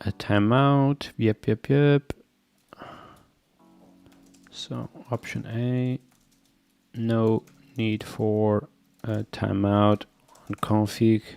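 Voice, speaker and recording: A man with a Portuguese accent, a native Portuguese speaker, streaming live as he codes. He thinks aloud, muttering to himself and repeating quick agreements as he reads, and he sounds sick. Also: on the low side, level tone, gravelly, a little mellow, smooth. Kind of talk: thinking aloud while coding, chatting with viewers.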